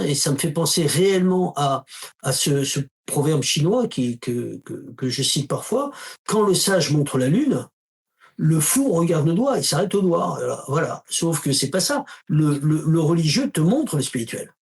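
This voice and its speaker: A man giving an interview as a spiritual teacher, talking casually and telling stories with emphatic asides. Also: a little high. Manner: storytelling, acts out quoted dialogue with emphatic asides; uses informal tu to the listener.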